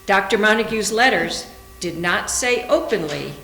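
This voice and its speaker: A woman's voice: medium pitch, expressive, normal speed, vocal fry, bright, forceful tone. Woman narrator in an echoey, humming room. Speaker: readers theater narrator. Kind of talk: scripted literary narration read aloud. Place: indoor room, live library reading. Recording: echoey room with faint hum.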